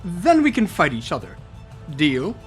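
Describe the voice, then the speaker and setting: A man's voice: sits high, huge pitch swings, unhurried, faint music behind, heavily acted. A man playing a flirtatious, joking male elf bard in a fantasy audio drama. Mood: wry, casual.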